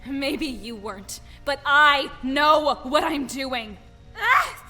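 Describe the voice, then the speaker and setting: A woman playing a defiant, desperate giant with fire powers in a forceful, dramatic audio drama style. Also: sits high, animated, takes it slowly, music in the background. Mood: scornful.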